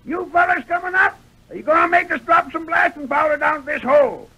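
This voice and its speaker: An actor playing a gruff, feisty old prospector with a folksy American drawl in an old radio western. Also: male voice, steady tone, a little fast, pushed and bright. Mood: shouting threats. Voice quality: creaky, cracked high voice. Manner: folksy frontier drawl, blustering. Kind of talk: 1930s-40s radio western acting. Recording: vintage radio recording.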